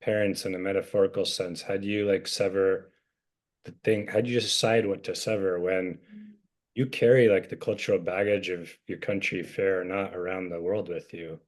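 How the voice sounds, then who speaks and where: Deep-voiced American man asking questions. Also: fairly even intonation, normal speed. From United States. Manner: probing questions, loose and conversational. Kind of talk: casual group discussion.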